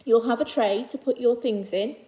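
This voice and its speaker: A woman's voice: on the high side, moderate pace, warm tone. A woman teacher reading a children's picture book aloud to young pupils, phrasing it simply and adding reassuring asides in her own words.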